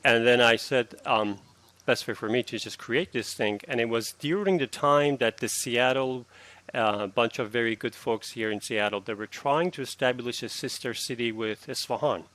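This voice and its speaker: A man in his thirties from Iran, a classical guitarist answering interview questions earnestly, with halting restarts. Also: mid-range voice, a bit bright, dry recording.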